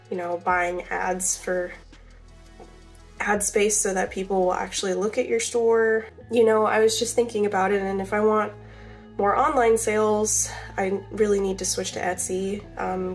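A young woman with a Southern accent, congested from a cold, chatting casually and rambling through her crochet vlog in a small bedroom. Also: mid-range voice. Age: young adult. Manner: chatty, rambling, many fillers. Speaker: crochet vlogger.

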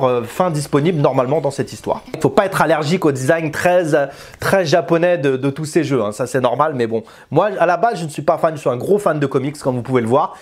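A man's voice: a little high, darkish tone. A man, talking casually and informally to camera as a video game reviewer, using slang. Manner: informal, slangy, chatty, gesturing. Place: small indoor room with bookshelves.

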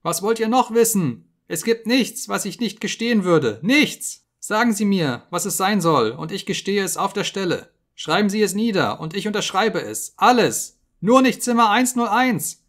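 A man reading an audiobook, voicing a terrified, emaciated male prisoner who begs and screams in a high, frantic voice. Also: very animated, moderate pace, few pauses, a bit bright. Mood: frantic pleading. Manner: shrill, frantic pleading and screaming.

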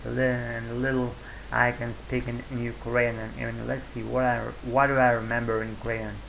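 A man's voice: medium pitch, monotone, moderate pace, vocal fry, a bit bright, slight background noise, poor audio. A man in his forties who is a native Russian speaker, talking in a rambling casual vlog to his webcam at home, full of fillers and self-corrections, with a sore throat. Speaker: vlogger. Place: small room at home, indoors. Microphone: webcam at desk.

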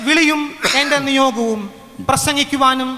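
High bright-voiced male interpreter. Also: fairly even intonation, moderate pace. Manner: formal, follows each phrase closely. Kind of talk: live sermon interpretation. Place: large open-air convention tent. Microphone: stand mic at podium. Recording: long hall reverb from PA.

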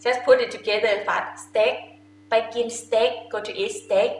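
Young female Thai language teacher, Thai-accented, higher voice, informal and instructional, recorded in an echoey room. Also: steady tone, fast talker. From Thailand. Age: young adult. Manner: informal, simple explanations with checking questions. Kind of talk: instructional lesson to camera. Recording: echoey room with faint noise.

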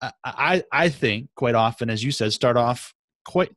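A man's voice: mid-range voice, measured pace, fairly bright tone, dry recording. A middle-aged man with a Midwestern accent from Michigan, hosting a podcast interview into a studio mic with headphones, friendly and often restarting his sentences. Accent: Midwestern American. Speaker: podcast host. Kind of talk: casual interview chat. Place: small home studio room. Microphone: headset with studio microphone.

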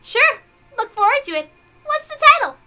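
A woman acts out a playful, impatient witch girl from a visual novel. The voice is shrill, very high and bright, with a demanding, peppy manner. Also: sing-song, moderate pace, faint music behind, heavily acted. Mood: excited.

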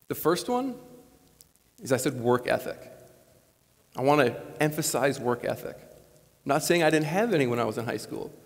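A man in his late twenties from Wisconsin giving an earnest, conversational commencement speech on a lapel mic. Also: medium pitch. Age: late 20s. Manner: earnest, conversational, animated gestures. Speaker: commencement speaker. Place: large school gymnasium, on stage. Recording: long gym reverb.

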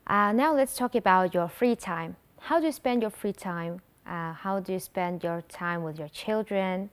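A woman's voice: fairly low pitch, a little slow, clean tone. A young woman interviewing a guest conversationally as the host of a talk show.

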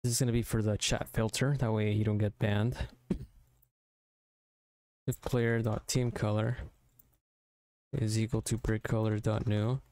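A man narrating a software tutorial informally, thinking aloud step by step into a close mic. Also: lowish voice, little pitch movement, unhurried, pauses often, dry recording. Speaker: tutorial presenter.

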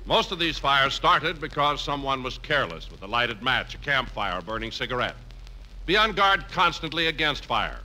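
A man's voice: medium pitch, sing-song, normal speed, bright, forceful tone, slight background noise, poor audio. An American man announcing in a scripted 1950s radio style as a program announcer.

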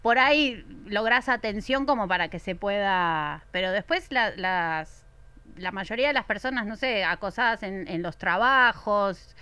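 Bright crisp Argentine woman. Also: mid-range voice, animated, measured pace, dry recording. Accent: Argentine Spanish. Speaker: guest. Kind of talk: casual podcast chat. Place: small bright studio. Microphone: desk mic on stand.

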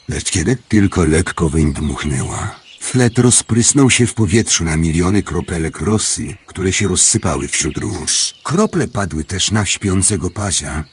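Storybook radio drama: tired master storyteller male actor, lowish register, measured delivery, some background noise. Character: tired male hour-spirit storyteller, master of a castle chamber. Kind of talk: storybook radio play narration.

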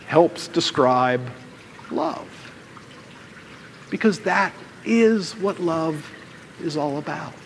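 High-pitched American male preacher in reverberant hall. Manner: deliberate, emphatic phrasing with rhetorical pauses and repetition. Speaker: pastor. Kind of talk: sermon. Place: church room with stained glass windows, indoors. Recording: long hall reverb, some background noise.

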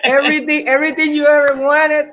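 Host with a high voice, joking around, throws english phrases in. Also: male voice, flat delivery, normal speed, over a noisy crowd. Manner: playful, mixes English phrases into Spanish. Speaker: podcast host. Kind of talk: casual interview conversation.

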